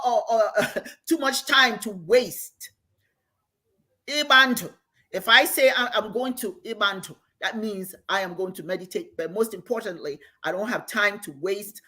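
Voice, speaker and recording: A woman's voice: on the high side, bright, forceful tone. An older woman, an Igbo speaker, teaching meditation on a livestream in an earnest way and repeating key phrases for emphasis. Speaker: host and spiritual teacher.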